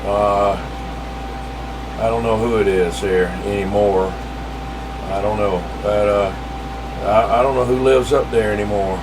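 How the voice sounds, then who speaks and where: A man's voice: fairly low pitch, steady tone, a little slow, long pauses. A man making casual conversation as a CB radio operator, heard over the radio. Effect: CB radio transmission. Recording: distant, very noisy CB radio transmission.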